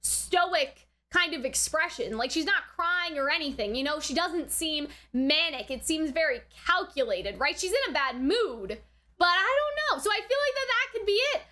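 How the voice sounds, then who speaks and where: Female reaction host, high and bright voice, chatty and informal, thinking aloud in casual review commentary. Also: big pitch swings, normal speed. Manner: chatty, informal, often ending phrases with tag questions.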